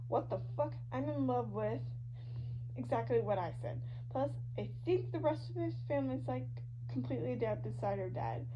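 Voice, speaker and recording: High-voiced woman reading over loud hum. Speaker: podfic reader. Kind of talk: expressive fan fiction reading of social media posts. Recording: dull lo-fi audio with loud hum. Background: loud hum and noise.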